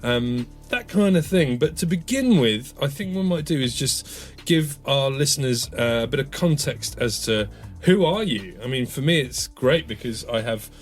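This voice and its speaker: A man's voice: medium pitch, expressive, normal speed, hardly any pauses, soft background music. A man hosting a casual interview, chatty and effusive with his guests. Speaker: podcast host.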